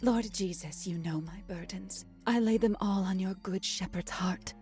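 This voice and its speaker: A woman's voice: medium pitch, normal speed, soft background music, lightly acted. A woman playing a stern, judgemental, pious nun in a game, with a fervent, severe manner. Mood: fervently praying. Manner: sharp commands mixed with fervent prayer. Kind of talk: visual novel voice acting.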